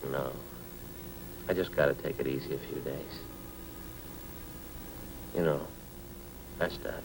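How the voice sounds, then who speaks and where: A man playing a gentle, friendly wounded policeman in hospital in an old radio drama, speaking in a subdued, halting way. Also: very deep voice, steady tone. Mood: weary. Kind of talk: 1950s radio drama acting. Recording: noisy old radio recording.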